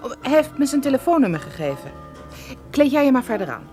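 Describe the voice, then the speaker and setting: An actress playing a detective's practical, gently fussing female companion in a radio play, in a conversational manner. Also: high-pitched, very animated, quick pace, soft background music. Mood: concerned.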